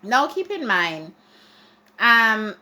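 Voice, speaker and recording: A woman's voice: on the high side, sing-song, extremely slow, bright, forceful tone. A Jamaican woman giving a chatty, ranting opinion piece to her viewers, often restarting and repeating herself. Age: adult. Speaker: vlogger. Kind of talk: casual opinion monologue to camera.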